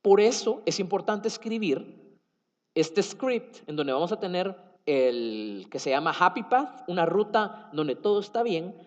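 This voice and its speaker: Lively high-voiced Guatemalan man lecturing. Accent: Guatemalan Spanish. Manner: explanatory, with fillers and self-corrections. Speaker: conference speaker. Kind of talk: tech conference talk. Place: conference hall. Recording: dull sound in a reverberant hall.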